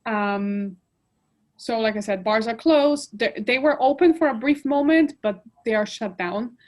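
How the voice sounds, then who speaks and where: A woman in a relaxed chat. Her voice sits a bit high, and she piles up examples with lots of "like" as she talks. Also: unhurried. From Poland. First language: Polish. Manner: expressive, lists details, frequent "like" fillers. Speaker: guest. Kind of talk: casual chat.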